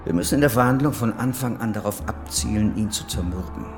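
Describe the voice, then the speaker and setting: Male actor as calculating state official, radio play, lowish voice, brisk controlled manner, music underneath. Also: lightly acted. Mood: cold, calculating. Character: cold, calculating official plotting a rigged trial. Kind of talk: radio drama acting.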